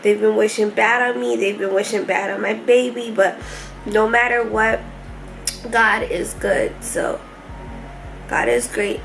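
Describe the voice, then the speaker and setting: A woman's voice: mid-range voice, a little slow, creaky, long pauses, soft background music, poor audio. A young woman vlogging casually and candidly from a hospital room, laughing often, tired and stuffy-nosed.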